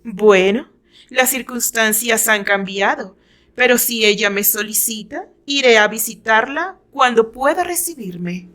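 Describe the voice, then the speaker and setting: A woman's voice: on the high side, a little slow, fairly bright tone. A woman voicing a sly, honey-sweet woman who feigns innocence in a dramatized story, coy and careful. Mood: composed, coy.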